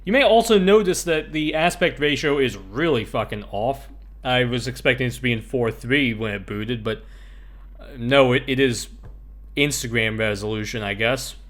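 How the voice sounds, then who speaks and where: A man's voice: medium pitch, moderate pace, fairly bright tone. A man giving casual, offhand let's play commentary on a video game, swearing now and then. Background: game music.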